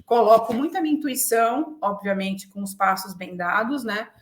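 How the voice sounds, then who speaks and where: A woman's voice: mid-range voice, moderate pace, a little hiss or hum. A Brazilian woman in her forties talking frankly and self-assuredly about herself as an interview guest, often checking in with short tags. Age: 40s. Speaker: interview guest, business executive. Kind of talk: conversational interview, personal reflection. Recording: roomy, echoing sound.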